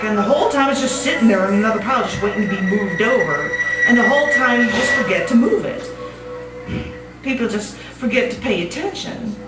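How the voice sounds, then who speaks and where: Confined, unhinged Southern woman played by a female stage reader, colloquial, repetitive and defensive; echoey live room with music underneath. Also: on the high side. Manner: rambling, halting, colloquial, repetitive. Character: confined woman inmate acting crazy, rambling, defensive, paranoid. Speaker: performer reading a monologue. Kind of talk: live dramatic monologue reading. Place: small gallery cabaret stage. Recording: roomy distant live recording with music.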